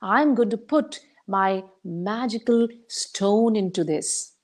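A woman's voice: mid-range voice, animated, slow pace. A woman voicing a clever, hungry male traveler who plays a grand master cook. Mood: gentle, coaxing. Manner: grand, announcing.

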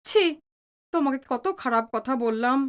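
A woman's voice: fairly high pitch, moderate pace, smooth, close to an everyday voice. A woman playing an emotional, remorseful middle-aged wife in an audio drama. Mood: upset, remorseful.

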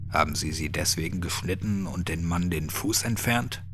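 A man's voice: low voice, moderate pace, music in the background. A man voicing a shaken, refined man who protests in a formal, subdued way, in a horror audiobook. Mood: indignant.

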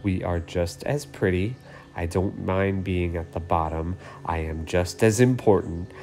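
A man's voice: low-pitched, unhurried, darkish tone, faint music behind, pitched below the performer's own voice. A man voicing an argumentative, indignant female green traffic light in a children's storybook, firmly retorting. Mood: insistent. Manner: firm, retorting.

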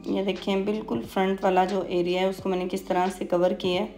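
A woman beautician explaining a technique in an instructional voice-over, hesitant, with frequent pauses. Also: medium pitch, level tone, a little mellow, soft background music. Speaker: beautician giving a hair tutorial.

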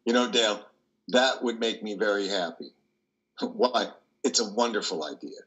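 An older man plays a compassionate old priest in an online play reading. The voice is low with a bright edge. The delivery is gentle and measured. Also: lively intonation, low-quality recording. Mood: kindly, consoling. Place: dim room indoors. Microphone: video call, close to computer.

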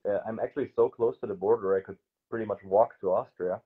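A man's voice: fairly low pitch, fast, husky. German-accented young man on a tinny call. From South Tyrol, Italy. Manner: hesitant, many fillers and restarts. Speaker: artist guest. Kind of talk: casual interview over video call. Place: small indoor room, sofa. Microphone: video call. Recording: phone-like narrow audio.

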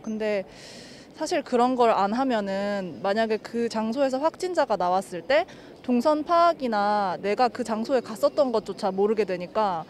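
A woman's voice: medium pitch, darkish tone. A young woman in a street interview on a city sidewalk, speaking in a reflective, candid way. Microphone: handheld mic held by interviewer.

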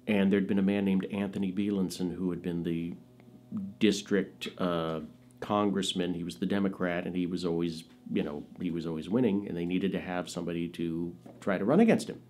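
A middle-aged man telling family anecdotes in a seated studio interview, animated and laughing, often jumping into high quoted voices. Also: moderate pace. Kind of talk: anecdotal storytelling. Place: indoors, studio with dark curtain backdrop.

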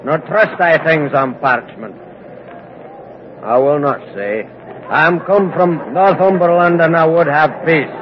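An actor playing a stubborn, wary peasant farmer from Northumberland in a radio drama, terse and cagey, with archaic rustic phrasing. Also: male voice, fairly high pitch, slow. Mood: dogged, weary. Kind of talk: 1950s radio drama acting. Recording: dull, noisy vintage radio recording.

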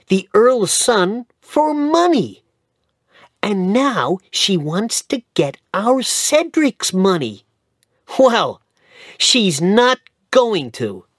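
A man voicing an excitable young male bootblack who talks high and eager, in an audiobook. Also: no room sound, studio quality, full character voice.

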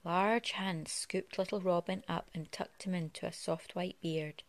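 Low-voiced woman reading a children's storybook. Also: steady tone, unhurried. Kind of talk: read-aloud children's storytelling. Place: living room at home.

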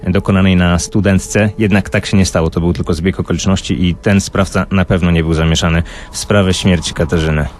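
Lower-pitched male true-crime co-host. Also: fairly even intonation, fairly quick, hardly any pauses, a little hiss or hum. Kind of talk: conversational true-crime storytelling. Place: radio studio.

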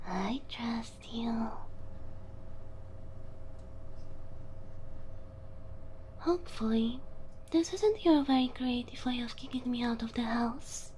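High, soft, whispery cow girl girlfriend acted by a woman. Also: a little hiss or hum. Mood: soft, curious, trusting. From Slavic country. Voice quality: breathy, often whispering. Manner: tender, slow, full of wonder. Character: gentle, affectionate, easily delighted cow girl girlfriend. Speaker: ASMR roleplay performer. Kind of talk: ASMR roleplay.

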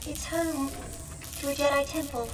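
A woman's voice: sits high, steady tone, moderate pace, heavy background noise, close to an everyday voice. A woman playing a prim, wistful droid in a video game, formal and a little forgetful, with hesitant, fussy phrasing. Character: prim, wistful, long-stranded female droid, gently forgetful. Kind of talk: video game character acting. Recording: loud music behind, slightly distant.

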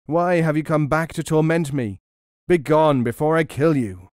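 Storybook merchant, middle-aged, furious and loud, read by a man. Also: on the high side, normal speed, no room sound, clean studio sound, voice pushed up, natural voice. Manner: loud, ranting. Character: furious wronged male merchant.

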